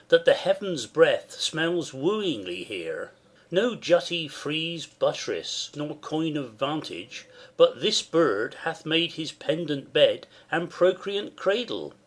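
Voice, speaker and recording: A man playing a courtly, observant nobleman, speaking verse deliberately in a Shakespearean audiobook drama. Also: fairly high pitch, breathy edge, exaggerated voice.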